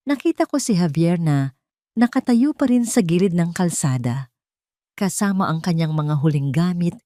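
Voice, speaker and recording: Low-pitched woman narrating a drama. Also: warm tone, dry recording, studio quality. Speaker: audio story narrator. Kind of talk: first-person dramatic scripted narration.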